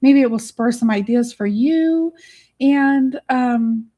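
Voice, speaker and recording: Hoarse raspy woman hosting a live stream, kinda high voice, giggly and chatty. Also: takes it slowly, dark tone. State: hoarse, worn voice. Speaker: livestream host. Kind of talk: casual live chat. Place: home studio. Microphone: studio microphone.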